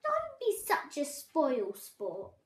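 Storybook mouse, tiny, playful, coaxing, played by a young reader; very high voice, lively swoops, echoey room. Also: slow, heavily acted. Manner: calling out, coaxing. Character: playful, cheery little mouse friend.

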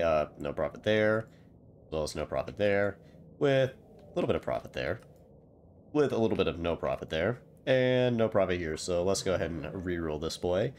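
Low-voiced congested man, wry game commentary. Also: a little hiss or hum. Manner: rambling, wry, self-deprecating. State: congested with a cold. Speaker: gaming channel host. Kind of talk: casual gameplay commentary.

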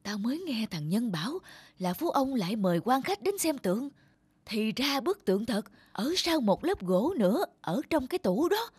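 A man voicing a sly, bossy, scheming thief in a cartoon, hushed and conspiratorial. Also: very high voice, very animated, normal speed, slight background noise. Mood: scheming, urgent. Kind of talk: cartoon dialogue.

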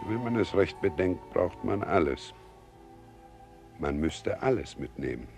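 An actor playing a cool, calculating expedition member in a radio play. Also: male voice, low voice, animated, a little slow, creaky, a little mellow, heavily acted. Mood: insistent. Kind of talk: radio drama acting. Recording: radio play with music underneath.

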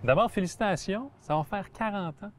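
A man's voice: high-pitched, expressive, fairly quick, a little hiss or hum. A man, interviewing a guest outdoors on a golf course with short, friendly questions. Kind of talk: casual interview.

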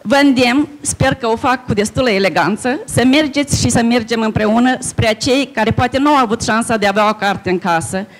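A middle-aged woman delivering an earnest, measured formal speech at a book fair opening, speaking into a handheld microphone in a conference hall. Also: fairly high pitch. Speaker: official speaker.

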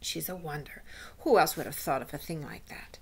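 A woman voicing an eager, fawning witch from an excitable crowd of followers in a storybook. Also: low-pitched, moderate pace, gravelly, breathy edge, full character voice. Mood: admiring. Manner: excitable, flattering.

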